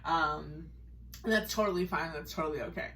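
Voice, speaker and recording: Low-voiced American woman, slightly distant mic. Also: brisk, a bit bright, slight background noise. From Massachusetts, United States. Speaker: podcast co-host sharing personal story. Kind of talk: casual conversational testimony.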